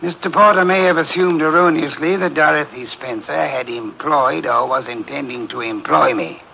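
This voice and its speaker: An actor playing a pompous, portly genius detective in a 1950s radio drama, speaking in a haughty, deliberate way. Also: male voice, fairly high pitch, gravelly, sharp tone, low-quality recording. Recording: old radio broadcast with faint hiss.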